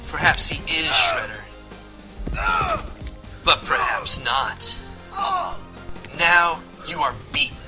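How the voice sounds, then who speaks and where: A man playing a heroic, honorable young turtle ninja leader with an earnest, declamatory, deliberate delivery in a fan-made voice comic. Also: fairly high pitch, music in the background. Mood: stern, resolute.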